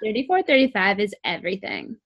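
Chatty young woman with a squeaky voice. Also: sing-song, fast talker, muffled recording. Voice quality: sweet squeaky voice. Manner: chatty, peppered with "like". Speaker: co-host reviewing music. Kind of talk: casual chat.

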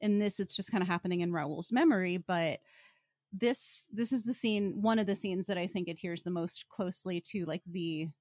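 A woman's voice: fairly low pitch, normal speed, no room sound. Female podcast co-host, slangy and wry, playfully dramatic, chatting casually about books in a dry, close recording. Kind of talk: casual book discussion podcast.

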